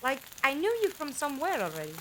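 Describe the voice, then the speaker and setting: A woman acting in a fan audio drama as a bewildered, earnest, quick-witted companion who questions everything. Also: high-pitched, big pitch swings, noisy background. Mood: earnest, uneasy.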